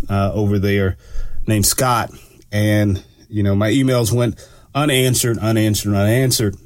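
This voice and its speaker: A man hosting his own radio talk show, sounding a bit stuffy from allergies and talking in a relaxed, rambling way with lots of fillers. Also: on the low side, no echo, studio quality. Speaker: radio show host and law enforcement analyst. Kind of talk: casual solo radio talk.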